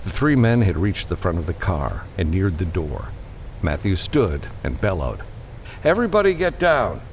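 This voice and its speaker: A man's voice: low voice, animated, normal speed, a little mellow, slight background noise. A man reading scripted fiction as an audiobook narrator.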